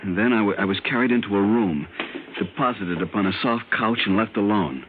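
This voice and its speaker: Through a tinny, hissy vintage radio recording comes a man acting a haunted lieutenant. His voice is on the low side, and he tells his ordeal with urgent, earnest intensity, like a man desperate to be believed. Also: a little fast, natural voice. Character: frightened military lieutenant recounting a strange captivity, urgent and haunted. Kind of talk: old-time radio drama acting, first-person narration. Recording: noisy, narrow-band old radio recording.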